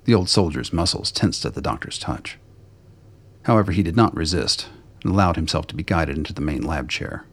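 A man's voice: low voice, expressive, brisk, husky. A man narrating a scripted radio drama.

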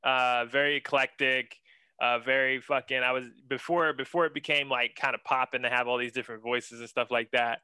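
Young male guest, bright and crisp, casual slangy chatter, enthusiastic. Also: medium pitch, level tone, a little fast, dry recording. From western Pennsylvania. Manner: laid-back, slangy, enthusiastic, says man a lot. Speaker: guest, senior art director. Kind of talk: casual interview chat.

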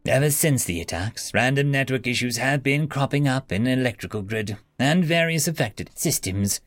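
A man's voice: medium pitch, lively intonation, normal speed, a bit bright, dry recording, studio quality, natural voice. Man playing a stern, formal interrogator. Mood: stern, grave. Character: stern male interrogator, businesslike and unyielding. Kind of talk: acted story dialogue.

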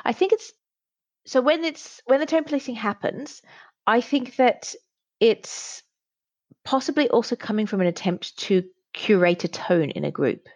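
A woman's voice: fairly high pitch, lively intonation, measured pace, no echo. A middle-aged woman in casual conversation, giving candid, reflective opinions, on slightly dull lo-fi audio. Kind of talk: casual chat.

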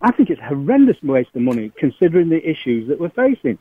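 A Yorkshire man calls in over a thin phone line, picking his words slowly and filling the gaps with hesitations. His voice sits a little high. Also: very animated, breathy edge, no room sound. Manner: hesitant, measured, lots of fillers. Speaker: radio caller.

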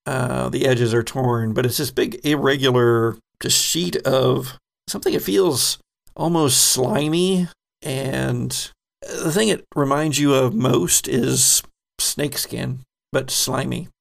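A man narrating a tabletop horror game as game master, descriptive and unhurried, with frequent ums. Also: medium pitch, vocal fry.

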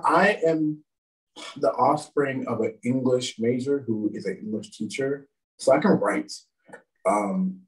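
Guy with a lisp, french his first language, rambly and laughing at himself, kinda far from the mic. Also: mid-range voice. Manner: rambling, self-deprecating, laughs often. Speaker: guest, pro wrestler. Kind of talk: casual interview chat.

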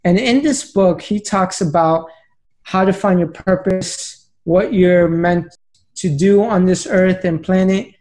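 A man's voice: high voice, slow pace, a little mellow. A man in his late twenties, a podcast guest telling his story in an earnest, plain way. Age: late 20s. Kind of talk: conversational storytelling.

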